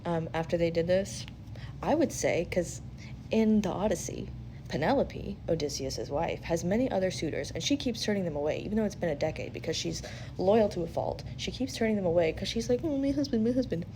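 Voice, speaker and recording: A woman's voice: on the low side, animated, brisk, noisy background. A woman host giving a casual spiritual reading in a blunt, sweary, conversational way, animatedly acting out quotes as she goes. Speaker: tarot-style spiritual reader and host. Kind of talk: casual intuitive reading, chatty advice.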